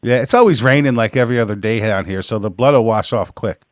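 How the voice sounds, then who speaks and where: A brash, teasing man in his forties hosts a live talk show and jokes crudely with his guests. His voice sits at a middling pitch, and the sound is dry and close. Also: no room sound. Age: 40s. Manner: brash, teasing, quick to laugh.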